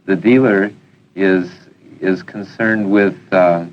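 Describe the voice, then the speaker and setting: Male interviewee, low, very slow and hesitant, muffled lo-fi audio with faint noise. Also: fairly even intonation. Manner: hesitant, repeats words. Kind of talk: halting interview talk. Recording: dull lo-fi sound, faint noise.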